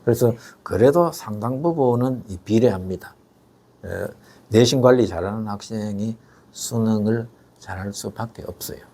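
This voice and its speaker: An older man speaking sits as the expert guest and lays out his points in a steady, measured way. He pauses on soft fillers and often turns his explanations into rhetorical questions. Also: lowish voice. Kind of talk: explanatory interview talk.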